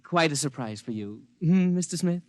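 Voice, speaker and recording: An actor in a 1950s radio drama playing a courteous, hesitant bank teller. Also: male voice, a little high, animated, moderate pace, natural voice. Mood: politely apologetic. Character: polite, apologetic bank teller.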